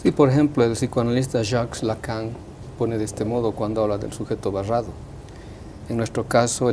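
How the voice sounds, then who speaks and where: A man speaks as a panel guest in a mid-range, relaxed voice. He explains analytically, in measured academic phrases, over slight background noise. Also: medium pitch.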